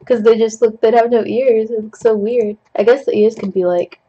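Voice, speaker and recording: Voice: medium pitch, fairly even intonation, moderate pace, warm tone, slightly rough, highs cut off. A young adult host rambling jokily with puns while painting at a desk, coughing now and then, on a webcam mic at home. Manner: chatty, self-deprecating, jokey with puns. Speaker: digital art tutorial host.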